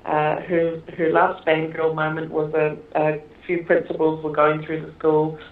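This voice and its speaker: Woman director, interview guest, chatty and giggly, lowish voice, remote call line. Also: monotone. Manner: chatty and halting, many fillers and laughs. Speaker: film director being interviewed. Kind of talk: casual interview conversation. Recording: echoey, tinny phone-like line.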